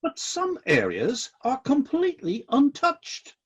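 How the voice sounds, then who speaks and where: An actor playing an exacting, fair-minded celestial boss in a satirical radio play, speaking in a measured, questioning and politely insistent way. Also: high-pitched, huge pitch swings, breathy edge, heavily acted.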